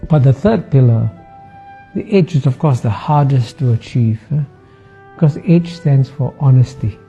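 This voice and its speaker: An older Singaporean man in his seventies speaks like a lecturer, calm and measured, pausing often with a soft "uh" and chuckling now and then. His voice sits at an ordinary middle pitch. The audio is dull and lo-fi, with faint music underneath. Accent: Singaporean English. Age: 70s. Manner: measured, with frequent "uh" pauses and soft laughs. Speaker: former dean of a public policy school. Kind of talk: reflective talk with a lecture tone.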